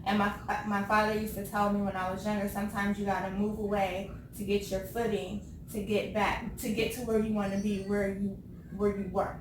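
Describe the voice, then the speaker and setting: A woman in her thirties from California, chatting casually on a talk show, laughing often and pausing with ums. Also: medium pitch, little pitch movement. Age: 30s. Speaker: co-host. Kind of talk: casual chat. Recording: echoey room, far from the mic, background noise.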